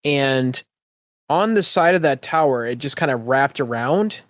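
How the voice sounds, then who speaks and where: A man's voice: mid-range voice, animated, measured pace, no echo. Male game master, mid-range voice in a dry space, casually explaining and narrating.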